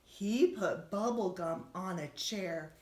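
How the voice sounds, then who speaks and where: A woman reading a children's storybook aloud like a teacher, expressively, with gentle questions to her young listeners. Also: mid-range voice, slow pace. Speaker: schoolteacher reading a bedtime story. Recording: roomy, echoey room sound.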